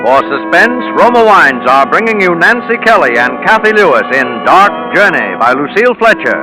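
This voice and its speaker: A man's voice: sits high, sing-song, normal speed, rough recording. A man grandly announcing a 1940s radio thriller program in a declamatory style. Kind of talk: dramatic 1940s radio introduction. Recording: vintage radio recording with music.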